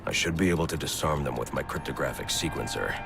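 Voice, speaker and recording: A grim, guarded video game vigilante with an extremely deep voice full of gravel. His lines are terse, clipped and stubborn, with music underneath. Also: fast, full character voice. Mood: grim focus. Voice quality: gravelly, very low voice. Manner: terse, clipped, flat and controlled. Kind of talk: video game hero acting.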